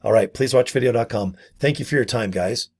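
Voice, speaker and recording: Hoarse, gravelly man pitching casually. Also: a little fast, darkish tone. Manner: chatty, repeats "guys" and "okay". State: gravelly, hoarse voice from a vocal cord injury. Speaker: online business promoter. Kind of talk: casual sales pitch to camera.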